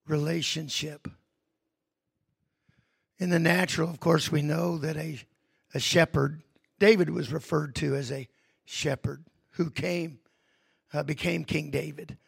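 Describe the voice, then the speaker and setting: A middle-aged man preaches with a gravelly, husky voice. He talks quietly and reflectively about family, then rises into loud, emphatic preaching. The sound is dry and close. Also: fairly high pitch, dry recording. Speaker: pastor. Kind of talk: sermon.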